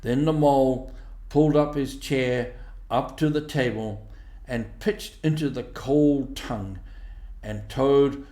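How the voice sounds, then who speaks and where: Slow-paced male storybook narrator, echoey room. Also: medium pitch, warm tone. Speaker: audiobook narrator.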